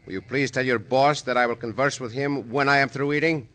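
An actor playing a suave, gallant, mocking Mexican bandit hero in an old radio western, courtly and teasing with a Mexican accent. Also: male voice, mid-range voice, steady tone, brisk, bright, forceful tone, natural voice. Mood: polite menace. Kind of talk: 1950s radio western acting. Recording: noisy old radio recording with clicks.

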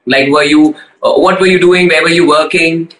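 A male podcast host asks questions in a casual way. His voice is bright and a bit high, with a noticeable room echo on the recording. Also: steady tone, moderate pace. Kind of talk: casual interview. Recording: echoey room.

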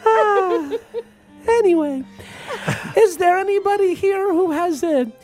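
A man's voice: very high-pitched, music in the background. Fields: a man doing a bumbling mock-French groom. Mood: nervous, flirty. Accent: mock French-Italian. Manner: halting, full of "uh" and broken grammar. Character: bumbling foreign groom reading clumsy vows.

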